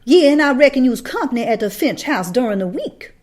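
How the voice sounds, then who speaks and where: A contemptuous, confrontational Southern woman from a storybook, acted by a woman reader. She is loud and hostile, drawling her challenge in dialect. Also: high-pitched, sing-song, a little fast, voice pushed up.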